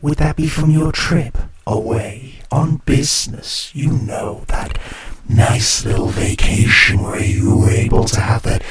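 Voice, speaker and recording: A man's voice: medium pitch, a little slow, hardly any pauses, close to an everyday voice. An actor playing a sardonic male late-night radio host who teases his callers with knowing jabs. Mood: sly. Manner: smooth, teasing, knowing and sardonic. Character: sly, mocking male radio host of the spirit hour. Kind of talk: audio drama acting.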